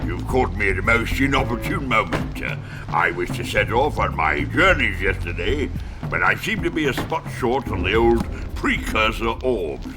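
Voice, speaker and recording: A man's voice: fairly low pitch, very animated, moderate pace. A man playing a pompously polite old British explorer uncle in a video game, hemming through florid phrases over music. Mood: apologetic, wheedling. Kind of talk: cartoonish video game acting.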